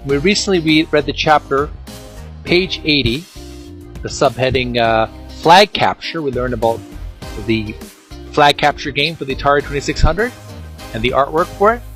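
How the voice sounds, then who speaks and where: A man hosting a video game channel, reading aloud and commenting informally in halting, piecemeal phrases that often repeat words. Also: medium pitch, fairly bright tone.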